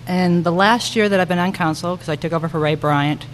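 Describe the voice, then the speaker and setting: A woman speaking as a council member and candidate at a public forum, haltingly searching for her words. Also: lowish voice. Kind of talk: semi-improvised campaign remarks at a public forum. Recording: echoey hall with background noise.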